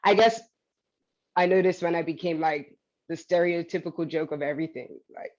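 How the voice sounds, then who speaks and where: A woman's voice: lowish voice, fairly even intonation, normal speed, a little mellow, highs cut off. A woman speaking as a panelist who rambles and laughs often between thoughts. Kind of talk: panel discussion.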